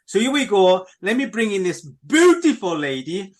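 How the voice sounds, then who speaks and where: Enthusiastic male host with a high voice, casual and rambling, keeps calling out to listeners. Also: very animated, normal speed. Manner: chatty, repetitive, keeps addressing listeners. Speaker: podcast host. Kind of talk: casual radio chat.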